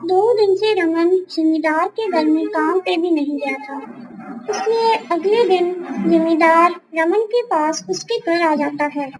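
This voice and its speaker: A woman's voice: extremely high pitch, measured pace, faint music behind, poor audio. A woman narrator reading a scripted moral story for a cartoon.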